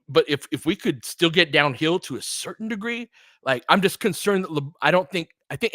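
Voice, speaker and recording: A man's voice: on the high side, bright, forceful tone, no echo. A man giving casual, opinionated basketball play-by-play as a fan host, chatting conversationally with listeners between calls. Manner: conversational, opinionated, answers chat commenters by name.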